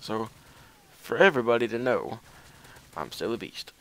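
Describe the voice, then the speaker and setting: A man's voice: mid-range voice, expressive, normal speed. A young man telling a casual, rambling story as gaming commentary, with a hesitant, self-deprecating way of talking.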